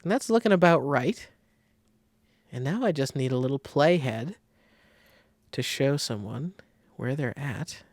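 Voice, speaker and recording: Creaky-voiced man casually teaching software. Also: medium pitch, lively intonation, a little slow, pauses often, dry recording, studio quality. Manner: informal, thinking aloud as they work. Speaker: design software tutorial host. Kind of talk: casual screen-recorded tutorial.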